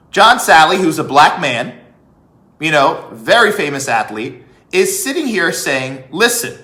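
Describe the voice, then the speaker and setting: A man's voice: a little high, bright, forceful tone. A man giving opinionated commentary as a vlog host, conversational and emphatic, often leaning on rhetorical questions and repetition. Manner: conversational, often checking in with "okay" and "right". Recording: echoey room.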